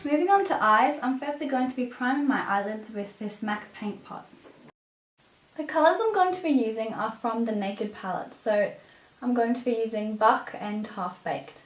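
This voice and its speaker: Echoey room, raspy woman, a bit under the weather, beauty vlogger, step-by-step tutorial narration, slightly distant mic. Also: fairly high pitch, animated, normal speed, faint music behind. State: mildly sick with a cough. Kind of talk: scripted makeup tutorial narration. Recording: roomy echo, distant microphone.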